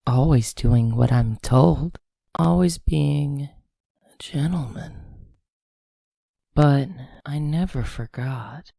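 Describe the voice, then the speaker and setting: A man voicing a creepy, falsely gentle child killer in a comic dub, with a breathy, gravelly, often whispering voice and slow menace. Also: mid-range voice, no room sound, studio quality, full character voice. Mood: sinister anticipation. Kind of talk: comic dub villain monologue.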